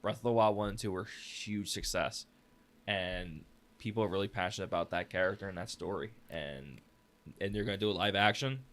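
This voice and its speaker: Bright, forceful low-pitched man. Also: brisk. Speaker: podcast host posing questions. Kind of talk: casual group chat.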